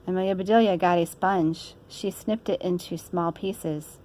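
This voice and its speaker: A woman's voice: fairly low pitch, steady tone, normal speed, mellow, low-quality recording. A woman reading a children's story aloud in an informal way. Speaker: storybook reader.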